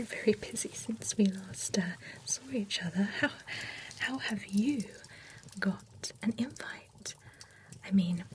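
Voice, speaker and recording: A chatty, nosy beautician at a spa for monsters, as a woman whispers her into a close ASMR mic, slow and unhurried, with a steady middle pitch. Every word comes as a soft, breathy, unvoiced whisper, gossipy and a little jealous underneath. A faint hiss sits behind her. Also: lightly acted, poor audio. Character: gossipy, envious female beautician at an undead spa. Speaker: ASMR roleplay creator. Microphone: close ASMR microphone.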